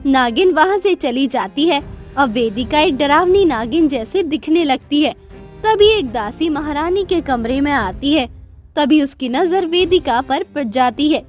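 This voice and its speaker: A woman narrating a cartoon story in a steady, clear storytelling manner. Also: sits high, measured pace, soft background music.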